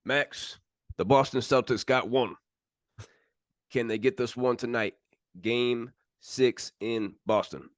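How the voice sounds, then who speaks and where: A man's voice: medium pitch, steady tone, takes it slowly, long pauses, no echo, clean studio sound. A man co-hosting a sports podcast, talking in a casual, conversational way.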